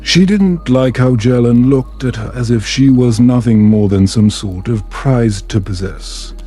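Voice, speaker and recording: A man calmly reading a scripted fantasy bedtime story in a soothing, unhurried manner. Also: mid-range voice, soft background music. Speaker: storyteller, narrator.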